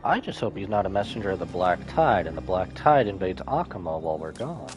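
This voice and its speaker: A man's voice: low-pitched, very animated, a little fast. A man giving casual live commentary as a streamer while reacting to a game story. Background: game music.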